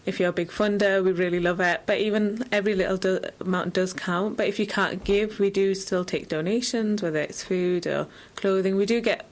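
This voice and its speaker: A woman's voice: medium pitch, fairly even intonation, brisk, few pauses. A woman giving informal, explanatory answers as a charity guest in an interview.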